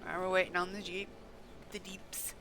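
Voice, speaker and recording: Woman streamer over clear background noise. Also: on the low side, normal speed. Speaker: game streamer. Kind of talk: casual livestream gameplay chat. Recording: noisy room, steady background noise.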